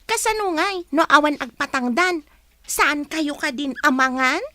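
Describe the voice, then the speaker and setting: A woman's voice: high voice, lively intonation, measured pace, bright, forceful tone, no room sound, full character voice. A woman playing a snooty, taunting young schoolgirl in a radio drama, drawing out her mocking words. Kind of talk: Filipino radio drama acting.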